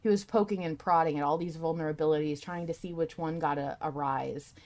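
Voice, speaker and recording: A woman with a fairly low voice chats as a podcast host. She talks casually and informally about fiction she follows, with a sweary, unpolished delivery. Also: fairly even intonation, fairly quick, a little mellow. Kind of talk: casual fan discussion with co-host.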